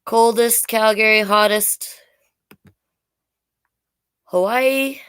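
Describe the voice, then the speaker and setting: A woman's voice: fairly high pitch, steady tone, extremely slow, a bit bright, clean tone, dry recording, studio quality. A middle-aged woman chatting casually as a storytime host, with playful, self-deprecating asides. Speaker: drag king host reading children's books. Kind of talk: casual livestream chat and storytime reading.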